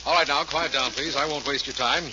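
An actor playing an earnest, authoritative district attorney, formal and clipped, in 1940s radio drama style. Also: male voice, mid-range voice, a little fast, close to an everyday voice. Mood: official. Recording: noisy, muffled 1940s radio recording.

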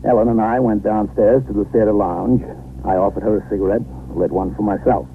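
An actor playing a businesslike, dutiful police captain with an American accent in a 1950s radio drama, matter-of-fact and authoritative. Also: male voice, lowish voice, fairly even intonation, a little fast, close to an everyday voice. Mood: measured, matter-of-fact. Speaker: narrator and lead actor. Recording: narrow-band, noisy 1950s radio recording.

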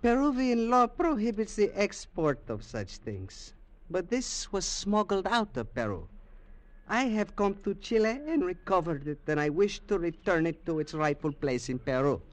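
A smooth, evasive old Peruvian antiques collector in an old-time radio play. His voice sits high. He hesitates now and then but keeps pressing his case. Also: big pitch swings, poor audio. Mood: persuasive. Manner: formal, slightly halting and persuasive. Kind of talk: 1950s radio drama acting.

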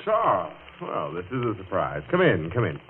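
On a tinny, faintly noisy old radio recording, a deep-voiced, suave stage star. He is breezy and self-assured, with lively swings of intonation in vintage radio drama style. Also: moderate pace. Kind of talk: 1940s radio drama acting.

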